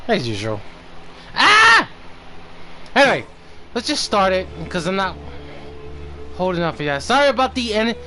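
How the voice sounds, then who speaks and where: A man's voice: high voice, sharp tone, a little hiss or hum. A man livestreaming a game in casual chat, grumbling and swearing freely as he talks. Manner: profane, grumbling.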